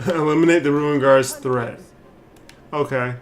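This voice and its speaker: A man's voice: a little high, fairly even intonation, measured pace. A man giving casual, informal live commentary as he streams a game, reacting aloud to it. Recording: faint background noise.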